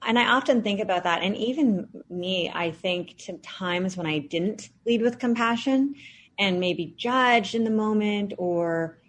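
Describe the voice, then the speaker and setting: A woman's voice: mid-range voice, a little slow, a little hiss or hum. A woman in her thirties, a podcast guest and founder, answering interview questions in an earnest, reflective way with frequent fillers. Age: 30s.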